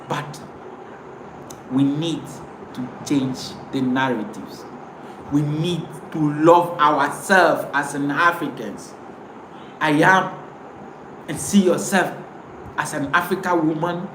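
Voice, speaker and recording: Ghanaian woman host, emphatic and preachy live talk, roomy sound with light noise. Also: low-pitched. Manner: emphatic, repeats words, preachy.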